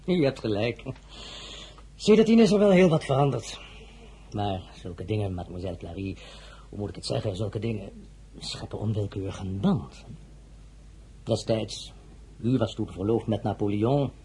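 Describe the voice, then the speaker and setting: A courtly general pressing his suit in a radio play. The voice is lowish and mellow, swinging expressively through halting, ingratiating phrases. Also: lively intonation, slight background noise, poor audio. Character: smooth, hesitant French general courting as suitor. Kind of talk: radio drama acting.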